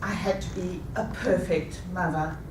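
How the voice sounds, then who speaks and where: Woman, fifties, rehearsed personal story, unhurried, recorded far off in a roomy hall with audible background noise. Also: fairly low pitch, steady tone. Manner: measured, deliberate delivery. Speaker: speaker. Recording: echoey room, taken from a distance.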